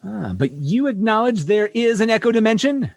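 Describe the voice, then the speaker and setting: A man's voice: sits high, sing-song, normal speed, vocal fry, exaggerated voice. A man playing an exasperated, tactless human starship captain in a sci-fi radio comedy, blustering and pleading as he talks. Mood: frustrated.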